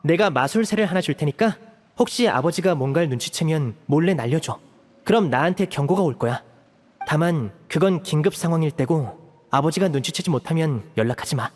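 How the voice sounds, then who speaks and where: An actor playing a young male magician who leads calmly and persuasively in a Korean video game. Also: on the high side, fairly quick, big-room sound. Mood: composed, resolute. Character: composed young male magician, caring leader.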